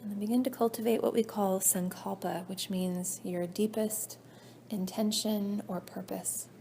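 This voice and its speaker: Woman doing guided meditation, mellow n soothing, pretty flat pitch, phrases trail off soft, bit of background noise. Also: gravelly. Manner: soothing, measured, trailing off softly at phrase ends. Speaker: yoga nidra teacher. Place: indoor class room. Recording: faint background noise, live class recording.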